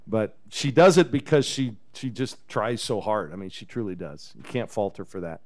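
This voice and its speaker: A man in his fifties, a head coach, talking casually at a post-game press conference into a table microphone, relaxed and chatty. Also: on the low side, normal speed. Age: 50s. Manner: relaxed, chatty, chuckles often. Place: press conference room with backdrop. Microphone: table microphone on a stand.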